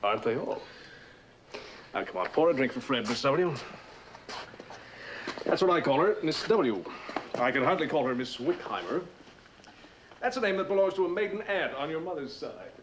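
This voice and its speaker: A man's voice: fairly high pitch, very animated, normal speed, pauses often. An actor playing a wealthy, eccentric, world-weary man, talking in a wry, rambling, theatrical way in mid-century television drama style. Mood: jovial, wry. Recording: noisy old TV drama soundtrack.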